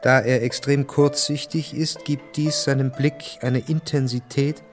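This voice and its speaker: A man's voice: medium pitch, fairly even intonation, a little slow, faint music behind. A man narrating a literary radio drama from a script, precise and matter-of-fact.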